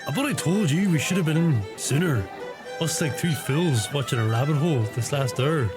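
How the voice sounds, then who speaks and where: A man's voice: fairly high pitch, expressive, normal speed, music in the background, lightly acted. A man playing a dim, grumbling pub regular with a Donegal Irish accent in a comic radio play.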